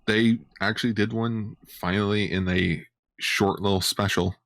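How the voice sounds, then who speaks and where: Low-voiced man chatting casually. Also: steady tone, unhurried, fairly bright tone, dry recording. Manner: informal, sweary. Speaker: podcast co-host. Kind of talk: casual fan chat.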